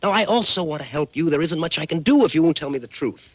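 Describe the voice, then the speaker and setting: An actor playing a curious, insistent man telling an eerie mystery in old radio suspense style, measured and literate. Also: on the high side, expressive, dry recording, highs cut off. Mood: urgent, insistent. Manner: measured, literate storytelling that turns urgent in questioning. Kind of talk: 1940s-50s radio suspense acting, first-person narration.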